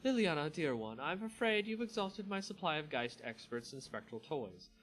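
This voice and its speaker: Man putting on a high, dainty vampire noblewoman. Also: big pitch swings, normal speed, a bit bright, soft background music, rough recording. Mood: playful menace. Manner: mock-polite, playful, theatrical. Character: dainty, giddy, floating female vampire aristocrat hostess. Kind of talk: dramatic fantasy story reading.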